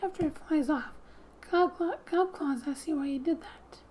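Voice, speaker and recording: A soft-spoken woman doing ASMR gaming commentary, gently reading story text aloud and often dropping to a whisper, in an unhurried way. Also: high-pitched, a little hiss or hum. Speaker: video game streamer. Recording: quiet background music. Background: soft music.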